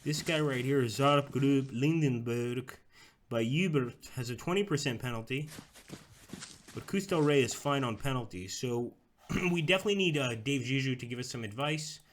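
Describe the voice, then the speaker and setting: A man's voice: mid-range voice, normal speed, slight background noise. A young man casually talking through a strategy game on a live stream, chatting with viewers as he plays. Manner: rambling, chats with viewers, talks through moves.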